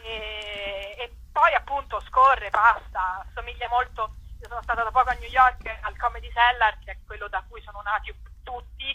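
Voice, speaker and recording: Bright, higher-voiced woman, chatty. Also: steady tone, normal speed, few pauses, rough recording. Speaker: actress, interview guest. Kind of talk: casual radio interview.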